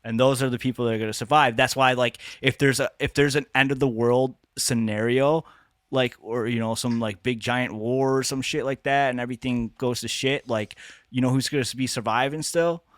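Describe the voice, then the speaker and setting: A man talks loosely on a podcast, circling through restarts and swearing as he works toward his point. His pitch sits in a middle range. The recording is dry and close, with no room sound. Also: steady tone, a little fast, fairly bright tone, no room sound. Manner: rambling, lots of restarts and swearing. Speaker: podcast co-host. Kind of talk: casual chat.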